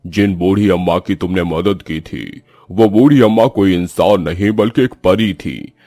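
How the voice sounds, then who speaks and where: Man voicing a deep-voiced, kindly magical stove. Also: a little slow, warm tone, no echo, clean studio sound. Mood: calm, reassuring. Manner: solemn, reassuring, explaining. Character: kindly magical talking golden clay stove, male, calm. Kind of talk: Hindi cartoon storytelling.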